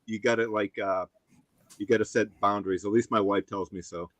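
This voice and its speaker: A man's voice: lowish voice, steady tone, fairly quick, no room sound. Easygoing man in his fifties. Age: 50s. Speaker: guest. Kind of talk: casual chat.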